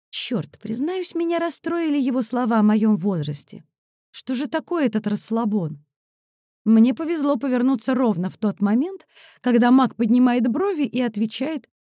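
A woman's voice: on the high side, expressive, moderate pace, warm tone, dry recording, studio quality. A woman narrating first-person fiction expressively, as an audiobook reader.

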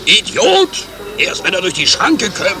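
A man's voice: sits high, huge pitch swings, unhurried, exaggerated voice. A man playing a bossy, easily exasperated crook boss in a satirical radio comedy, giving clipped commands. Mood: impatient, bossy. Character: bossy, exasperated crook boss instructing his dim sidekick.

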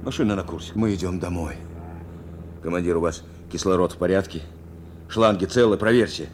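An actor playing a steadfast, protective bomber navigator in a war radio drama, speaking with earnest insistence. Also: male voice, low voice, a little fast, background noise, close to an everyday voice. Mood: controlled, concerned. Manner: insistent, repeating short phrases. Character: steadfast male bomber navigator, urging and protective of his commander. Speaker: actor, also narrating in the first person.

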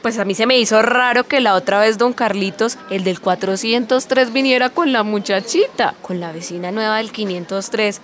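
A woman's voice: mid-range voice, fairly even intonation, normal speed, hardly any pauses, a bit bright, natural voice. A woman playing a gossipy, familiar neighborhood café worker with a Colombian accent in a radio soap. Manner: gossipy, colloquial.

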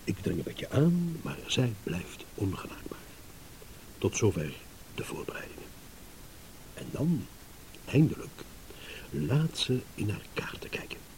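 An actor playing a smug, shrewd, eloquent gentleman in a radio drama, with mock courtesy. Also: mid-range voice, sing-song, a little slow, pauses often, in a whisper, poor audio. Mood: measured storytelling. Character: smug, shrewd gentleman savouring a battle of wits. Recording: hissy old radio play recording.

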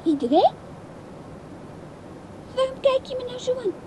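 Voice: very high-pitched, sing-song, unhurried, noisy background, exaggerated voice. A performer playing a young child in a radio play, frank and affectionate with an older relative. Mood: earnest, probing. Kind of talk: Dutch radio play acting.

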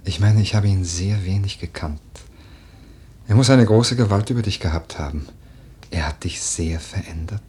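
A man playing a grave, melancholy noble widower in a German literary radio play, earnest and deliberate in speech. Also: sits low, warm tone, husky. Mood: urgent, searching. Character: grave, melancholy noble widower, tender toward his cousin.

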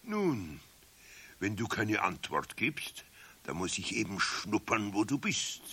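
An actor playing a grumbling old master carpenter with a Bavarian accent, stern and theatrical, in a children's radio play. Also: male voice, lowish voice, very animated, sharp tone, breathy edge, full character voice. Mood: mock-stern, threatening. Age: older. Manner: theatrical, slow and emphatic.

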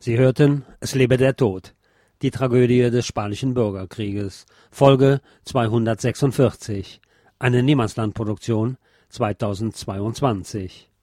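A man narrates a written history text in the role of podcast host and reader. His voice sits at an average male pitch. The recording is dry, with very little room sound around the voice. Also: steady tone, a little slow, mellow, no echo. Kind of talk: scripted narration, reading a history book aloud.

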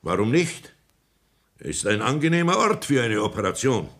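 A man's voice: mid-range voice, big pitch swings, normal speed, a bit bright. A man playing a pompous old country doctor who lectures theatrically in a 1960s radio play. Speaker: Sanitätsrat.

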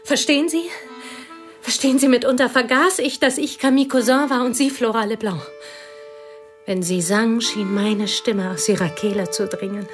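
An actress playing a plain, devoted woman who confesses intimately to a pianist in a radio play, her voice husky and often whispered. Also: fairly high pitch, steady tone, moderate pace, soft background music, natural voice. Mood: intense. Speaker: confiding companion.

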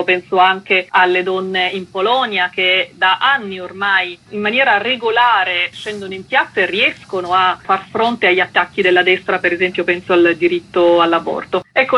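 A woman's voice: medium pitch, bright, forceful tone, breathy edge. A woman, a magazine co-editor giving an articulate, explanatory radio interview.

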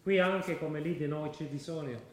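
Actor as a persuasive militant, roomy. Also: male voice, on the high side, moderate pace, close to an everyday voice. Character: calm militant jihadist man, persuasive, counselling patience. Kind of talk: stage-style radio drama acting.

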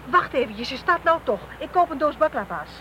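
A brisk, sardonic female detective in a radio drama. Her pitch swings widely as she fires off curt, dry retorts over clear street noise. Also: big pitch swings, sharp tone, exaggerated voice. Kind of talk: naturalistic radio play dialogue. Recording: noisy street and vehicle ambience.

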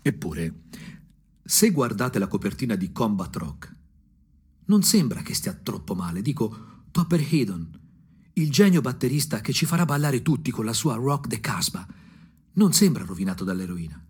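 A man delivering an ironic, theatrical radio monologue in short, punchy phrases, with a husky voice. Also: on the low side, very animated, moderate pace, a little mellow. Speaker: storyteller, actor. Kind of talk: dramatic first-person radio monologue.

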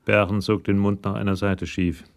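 A man's voice: on the low side, little pitch movement, moderate pace, vocal fry. A man narrating a crime radio drama from a script in a storytelling role.

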